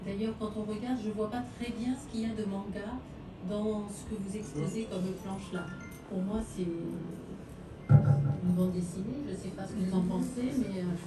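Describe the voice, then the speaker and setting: Distant mic, roomy echo, woman, average pitch, conversational moderator, questioning. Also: measured pace. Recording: echoey room, distant mic, music behind.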